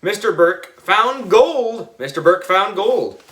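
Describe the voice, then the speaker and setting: A man voicing a cheeky, excitable schoolboy in a children's storybook, exclamatory and dramatic. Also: a little high, slow, exaggerated voice. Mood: excited shouting. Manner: exclamatory, teasing.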